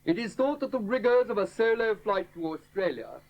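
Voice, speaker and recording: An actor playing a formal male newsreader reading a crisp period announcement in a radio play. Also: high voice, animated, a little fast. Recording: dull lo-fi sound.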